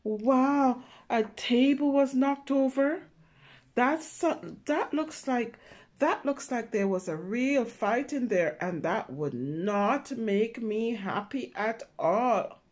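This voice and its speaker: A woman reading a picture book aloud with simple, expressive commentary for young children, exclaiming and checking in with her listeners. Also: fairly high pitch, slow. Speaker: children's story reader.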